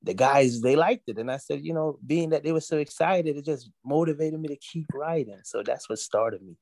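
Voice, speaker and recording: A man in his forties from Jamaica, raised in Brooklyn, chatting as an interview guest, relaxed and full of "you know.". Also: a little high, normal speed, gravelly, no echo. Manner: relaxed, repeats himself, many "you know"s. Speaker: guest musician. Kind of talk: casual interview talk.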